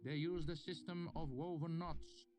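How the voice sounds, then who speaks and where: A man's voice: fairly high pitch, steady tone, a little slow, pitched above the performer's own voice, close to an everyday voice. A man voicing an eccentric, mischievous male master teacher who speaks abruptly and dismissively. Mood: wry. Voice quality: shouting, then dismissive. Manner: abrupt, playfully theatrical. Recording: music playing under the voice.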